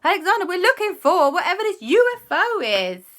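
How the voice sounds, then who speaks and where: A woman voicing a panicky, exasperated auntie in a children's story, shrill, dramatic and wailing. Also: very animated, slow, bright, forceful tone, full character voice.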